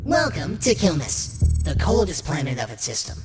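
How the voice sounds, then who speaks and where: A woman's voice: deep voice, very animated, normal speed. A woman voicing a polite robot host, delivering formal, polished sci-fi documentary narration. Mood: informative. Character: polite robot host guiding tours of alien worlds. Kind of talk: scripted documentary-style narration. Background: prominent music.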